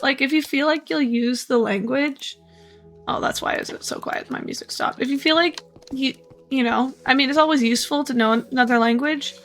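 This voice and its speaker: A woman's voice: sits high, a bit bright. An American woman chatting casually on a live stream, rambling and hesitant with many restarts.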